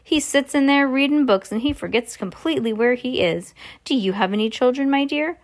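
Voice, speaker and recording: A chatty storybook grandmother, put on by a woman reader, pitched well up high. She sounds breezy and polite, and stays composed and unflappable. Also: brisk.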